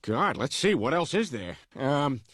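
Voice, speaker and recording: A man voicing a brash, childish, dim-witted, excitable family man in an animated sitcom. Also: mid-range voice, measured pace, husky, no echo, clean studio sound, full character voice. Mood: playful. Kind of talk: animated sitcom comedy acting.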